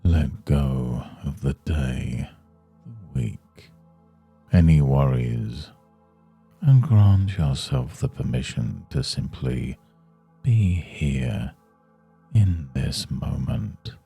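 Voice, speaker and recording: A man guiding a yoga nidra meditation in a soothing, hushed, unhurried manner. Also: very deep voice, animated, creaky, husky, soft background music. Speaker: meditation guide.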